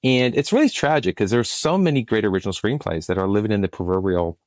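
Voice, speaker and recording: A middle-aged man with a very low voice talks as an interview guest. His delivery is earnest and emphatic, like a practised storyteller piling up his words. The sound is close and very dry. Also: quick pace, a little mellow, dry recording. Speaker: guest, film and podcast producer. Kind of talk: conversational interview answers.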